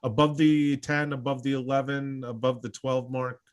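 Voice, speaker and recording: Halting male livestream host. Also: mid-range voice, level tone. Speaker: show host. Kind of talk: livestream crypto market talk.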